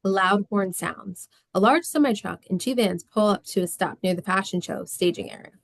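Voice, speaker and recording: Woman narrator, medium pitch, dry recording, scripted stage directions, table read. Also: normal speed, creaky, dry recording.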